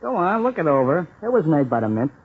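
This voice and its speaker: A husky young hustler, cocky and eager, talking in excitable slang. It is 1940s radio crime drama, with thin, phone-like vintage sound. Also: male voice, on the high side, quick pace, heavily acted. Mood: eager, wary. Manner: slangy, excitable tough-guy talk. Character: eager young male small-time counterfeit passer, cocky and boastful.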